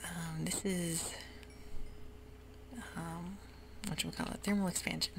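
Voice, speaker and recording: A woman whispering ASMR gameplay commentary in a soft, rambling way with many ums. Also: fairly low pitch, fairly even intonation, a little slow, gravelly, heavy background noise. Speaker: gaming ASMR host.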